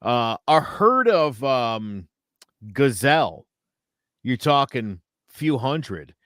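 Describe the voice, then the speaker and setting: A man co-hosting a casual podcast, bringing up topics and telling stories in a relaxed way. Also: medium pitch, drawn-out words, dry recording, clean studio sound. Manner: relaxed, storytelling, raises topics. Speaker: podcast co-host.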